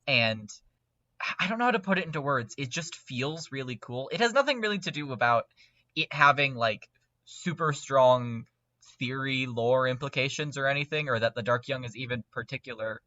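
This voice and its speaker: Male fan-podcast panelist, bright and keen, conversational, recorded dry with dulled fidelity. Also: medium pitch, lively intonation, moderate pace, dry recording, lo-fi sound. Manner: enthusiastic. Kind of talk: casual fan discussion.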